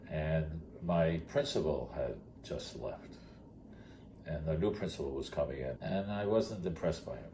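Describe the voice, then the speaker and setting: Older male storyteller, bass-deep and plainspoken, speaking conversationally over noticeable noise. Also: mellow. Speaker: retired teacher telling his story. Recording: clearly noisy background.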